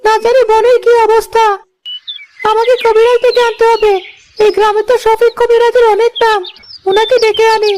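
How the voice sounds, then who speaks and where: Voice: extremely high pitch, level tone, moderate pace, a little hiss or hum. A performer voicing a young village boy, an earnest, polite and protective brother in a moral-story cartoon. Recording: loud music bed under voice.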